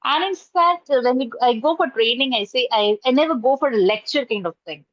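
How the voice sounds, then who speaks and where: A middle-aged Indian woman with a fairly high voice and an Indian accent talks earnestly, full of fillers and restarts, as an interview guest. Her first language is Urdu. The video-call audio is dry and somewhat dull. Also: lively intonation, a little fast, no room sound. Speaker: guest, college professor and trainer. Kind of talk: casual interview answers. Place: indoors at home, curtain behind.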